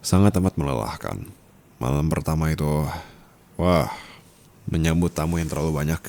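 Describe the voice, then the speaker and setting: A man playing a dominant, teasing yet caring sugar-daddy husband in an intimate ASMR roleplay, murmuring and slipping into whispers. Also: exaggerated voice. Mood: wry, musing. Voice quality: very deep, gravelly, husky. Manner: slow, teasing, soft murmurs dropping into whispers.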